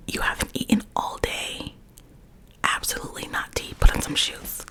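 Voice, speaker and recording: A woman's voice: moderate pace, pushed and bright, natural voice. A woman voicing a friendly, teasing young man in a whispered story reading. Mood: playful.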